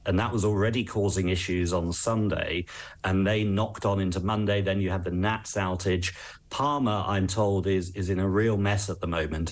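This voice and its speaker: A man with an English accent and a low-pitched voice gives expert commentary in a news interview. He is articulate and earnest, setting out his points in a measured way. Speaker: travel expert, interview guest.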